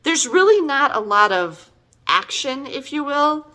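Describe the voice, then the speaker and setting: Middle-aged lady reviewing a book, high voice that swings all over, chatty and fed up. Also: sing-song, unhurried, sharp tone. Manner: exasperated, emphatic, conversational.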